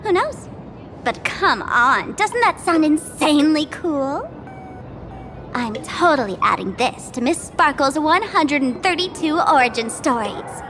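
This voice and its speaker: A high-pitched, smug young trickster girl in a video game. She teases theatrically and swings widely in pitch, with music playing behind her. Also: sing-song, a little slow. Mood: playful, smug. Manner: teasing, theatrical, speaks of herself in third person. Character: mischievous young female masked trickster streamer, smug and theatrical. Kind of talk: video game character acting.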